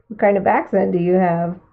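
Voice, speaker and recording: A woman's voice: medium pitch, creaky. Chatty Californian woman, tinny sound. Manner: chatty, muses aloud, drifts between chat and murmuring to herself. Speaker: artist streaming live painting. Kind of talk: casual chat while painting.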